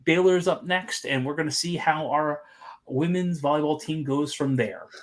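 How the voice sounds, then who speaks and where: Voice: medium pitch, normal speed. A podcast host with a joking, teasing way of talking, bantering casually about sports.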